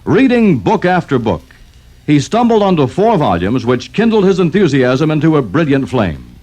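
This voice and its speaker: Higher-voiced, animated male radio announcer. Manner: emphatic, formal storytelling. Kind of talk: scripted educational radio narration, 1950s.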